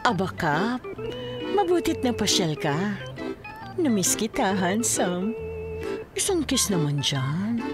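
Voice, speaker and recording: A woman's voice: medium pitch, big pitch swings, slow, pauses often, loud music behind the voice. A Filipino woman playing a brazen, flirtatious temptress in a drama, coaxing and pouting. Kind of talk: audio drama acting.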